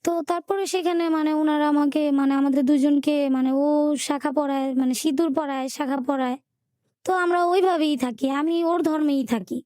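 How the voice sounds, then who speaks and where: A woman with a Bangladeshi Bengali accent telling her life story in an interview, in a colloquial, halting way with repeated filler words. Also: high-pitched, steady tone, warm tone, no echo, studio quality. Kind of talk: personal storytelling in a radio interview.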